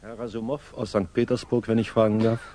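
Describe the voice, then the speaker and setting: An actor playing a courteous, reserved middle-aged English gentleman in a 1960s radio drama, speaking drily and deliberately. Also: fairly low pitch, little pitch movement, a little hiss or hum, natural voice. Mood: polite, calm. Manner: polite, dry, deliberate. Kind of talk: 1960s German radio drama acting, dialogue and narration.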